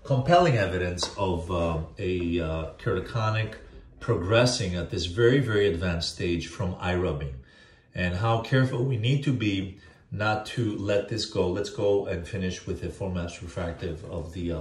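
A man's voice: deep voice, normal speed, echoey room. A man, an eye surgeon talking through a patient case in a loose way with many fillers, filmed on a phone in his clinic office. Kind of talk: informal clinical case video. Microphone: phone camera at arm's length.

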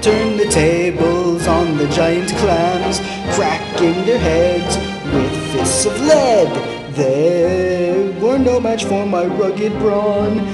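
A man's voice: high voice, very slow, few pauses. A man playing a vain, bragging game hero who theatrically sings his exploits. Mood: boastful. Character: vain, bragging male muscle-bound hero. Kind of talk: theatrical sung storytelling. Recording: long reverb, over loud music.

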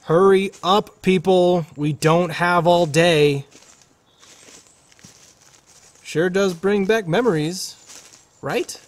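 A man plays an impatient expedition leader in an audio drama. His voice sits slightly high for a man and moves slowly, with a bossy edge.

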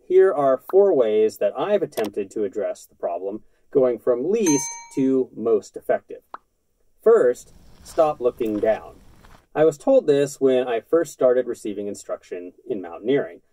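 Guy in his 30s explaining gear to camera, mellow voice, dry close mic. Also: mid-range voice, dry recording, poor audio. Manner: earnest, matter-of-fact, steady delivery. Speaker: outdoor gear vlogger. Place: indoors in front of a brick-pattern backdrop.

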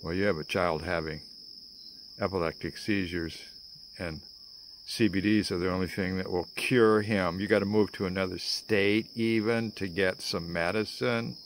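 An American man in his sixties giving a theatrical spoken-word political rant to camera, with dramatic pauses and wry asides. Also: low voice, fairly even intonation, slight background noise. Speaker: vlogger. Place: outdoors among greenery. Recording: outdoor ambience with chirping insects.

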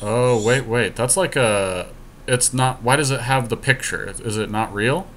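A man's voice: mid-range voice, measured pace, a bit bright. A man giving casual, joking gameplay commentary with some swearing, as a podcast co-host. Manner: joking, profane trash talk. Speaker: podcast co-host playing online card game. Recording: clear background noise.

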